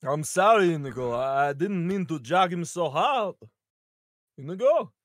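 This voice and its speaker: A performer voicing a big, gentle, apologetic sidekick in a playful, hammy way for a table read. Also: mid-range voice, animated, normal speed, dry recording, studio quality, close to an everyday voice. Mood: apologetic, loud. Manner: playful, hammy, with joking asides.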